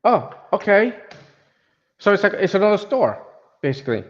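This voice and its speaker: A man's voice: high-pitched, very animated, moderate pace, muffled recording. A Puerto Rican man with an accent, chatting casually as a guest and restarting often. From Puerto Rico. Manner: hesitant, many restarts. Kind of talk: casual chat.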